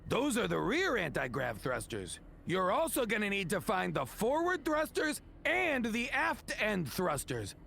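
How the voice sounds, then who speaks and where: A man voicing a grumpy, gruff young alien engineer who loves food, grumbling in an animated game. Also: high voice, measured pace, hardly any pauses, slight background noise, heavily acted. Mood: grudging. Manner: refers to himself in third person, grumbling. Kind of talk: animated cartoon game acting.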